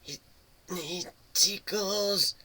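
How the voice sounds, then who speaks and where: A man's voice: high-pitched, very slow, sharp tone, husky, pitched above the performer's own voice, heavily acted. A man voicing a jumpy, sardonic male inventor adventurer in a dramatic fantasy story reading. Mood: ticklish.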